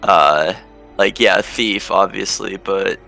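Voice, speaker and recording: A man's voice: low-pitched, slow, creaky, pushed and bright, soft background music. A man talking casually as a player in a tabletop role-playing game.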